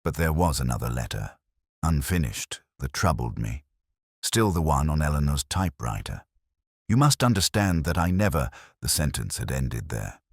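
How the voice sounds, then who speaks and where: A man playing a melancholy, reflective police detective, narrating an audio drama in a measured, literary manner. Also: a bass voice, big pitch swings, slightly rough, no echo, clean studio sound, exaggerated voice. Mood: regretful. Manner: measured, literary, brooding delivery. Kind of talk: scripted first-person audio drama narration.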